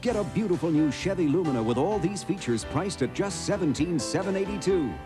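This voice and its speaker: A man's voice: fairly high pitch, lively intonation, brisk. A man reading a scripted radio car commercial with an upbeat salesman's delivery. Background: guitar music.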